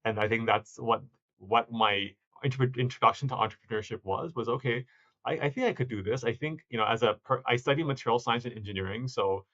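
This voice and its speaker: Founder guest, male, mid pitch, reflective and halting, dull lo-fi audio. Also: a bit bright. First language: not stated. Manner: reflective, many restarts and self-corrections. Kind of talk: conversational interview answers.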